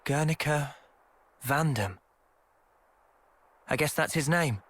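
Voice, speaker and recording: A man playing a calm, earnest young squad leader in a video game, measured and conciliatory. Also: on the high side.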